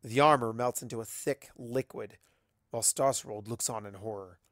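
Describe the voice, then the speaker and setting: Male amateur storybook narrator, mid-pitched, dramatic scripted read, dry recording. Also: moderate pace, fairly bright tone, dry recording. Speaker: amateur fan fiction reader.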